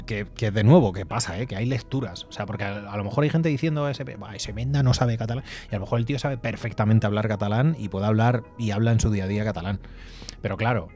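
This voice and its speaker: A man's voice: mid-range voice, a little mellow. Chatty Spanish man streaming a game. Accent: Spanish from Spain. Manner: chatty, rambling, swears casually. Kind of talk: casual gameplay commentary. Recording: faint game music behind.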